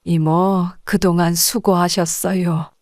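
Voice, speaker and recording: A woman's voice: on the low side, flat delivery, slow pace, a little mellow, no room sound, clean studio sound. A woman playing a wronged, firm restaurant owner in an audiobook drama, direct and restrained. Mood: disappointed, firm.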